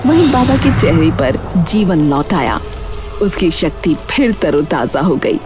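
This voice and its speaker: A woman's voice: fairly low pitch, big pitch swings, measured pace. A woman reading a scripted moral story as its narrator. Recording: background music under the voice.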